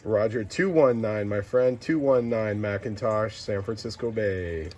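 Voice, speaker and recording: A man's voice: on the low side, a little slow. A man working a CB radio in casual, slangy talk, repeating clipped callsigns and numbers. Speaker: CB radio operator. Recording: loud radio static and noise.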